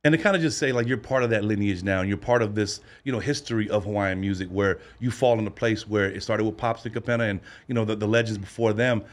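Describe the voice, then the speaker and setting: A man hosting a podcast in casual interview chat, animated and quick to laugh, cheering his guests on. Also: lowish voice. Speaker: podcast host.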